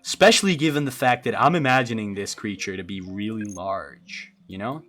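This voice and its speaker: A man's voice: on the low side, fairly quick, fairly bright tone, soft background music. A young man from Brazil, a streamer chatting casually and thinking aloud as he answers viewers, often stammering and restarting. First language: Portuguese. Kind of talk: casual livestream chat while building a game creature.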